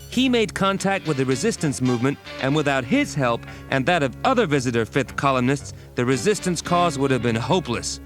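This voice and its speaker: A man giving scripted, dramatic narration as the storyteller of an audio storybook. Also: medium pitch. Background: music.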